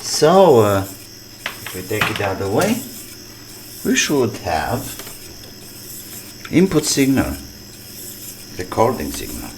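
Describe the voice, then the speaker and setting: Man, deep-pitched, unhurried, halting phrases, casual repair commentary, noisy clicky recording. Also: wildly swooping pitch. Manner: halting, thinking aloud in short fragments. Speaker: electronics repair hobbyist presenting his restoration.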